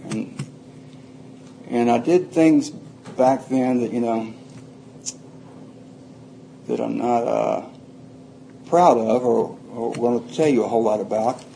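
Dark-voiced older man. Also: mid-range voice, takes it slowly, creaky, pauses often, a little hiss or hum, poor audio. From Atlanta, Georgia, USA. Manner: plain-spoken, rambling, frequent restarts. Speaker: recovery speaker. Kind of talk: personal life story told to a group.

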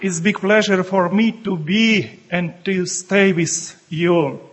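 A man from Ukraine speaking in halting, broken English with a Ukrainian accent, a bishop and monk addressing a meeting as guest speaker. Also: sits high, a crowd in the background, noisy background. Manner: searching for words in broken English.

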